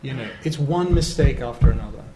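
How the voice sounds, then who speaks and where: A man giving an analytical academic talk, measured and steady, with fillers. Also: mid-range voice, crowd noise. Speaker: lecturer on Iran. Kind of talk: academic lecture answer. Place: lecture hall. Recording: roomy hall, faint noise.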